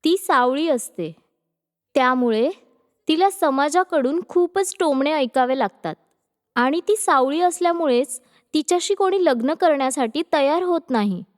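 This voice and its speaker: Female storyteller, scripted moral-tale narration, high voice, long reverb. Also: animated, unhurried.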